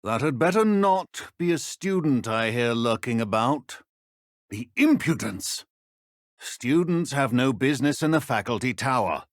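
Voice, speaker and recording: An actor playing a stern, dry-witted middle-aged British potions professor in a video game, speaking in a measured, exacting, deadpan manner. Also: male voice, mid-range voice, dry recording, close to an everyday voice. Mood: stern, disapproving. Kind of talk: scripted game dialogue.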